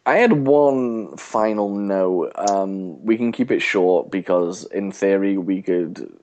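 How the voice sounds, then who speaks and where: A man co-hosting a podcast, casually discussing a TV show in a halting, thinking-aloud way. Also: sits low, a little mellow. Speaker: podcast co-host.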